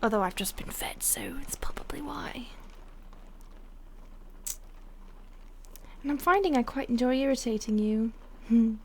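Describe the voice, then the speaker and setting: A woman playing a playful, condescending vampire stalker in an intimate audio roleplay, teasing and haughty in a breathy voice that often drops to a whisper. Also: on the high side, a little fast, darkish tone, slight background noise, close to an everyday voice. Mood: playful disdain.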